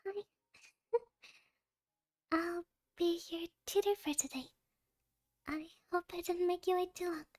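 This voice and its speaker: A shy young schoolgirl tutor, acted by a woman for an ASMR roleplay, speaking slowly in a very high voice. She is sweet, nervous and hesitant, often slipping toward a whisper. The sound is dry and close. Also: darkish tone, no echo. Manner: timid, hesitant, giggly, often dropping to a near-whisper.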